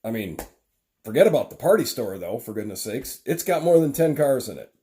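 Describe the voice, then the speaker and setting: Mellow-voiced rambling man. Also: mid-range voice, very animated, brisk. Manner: rambling, earnest, with many restarts. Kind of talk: unscripted political monologue.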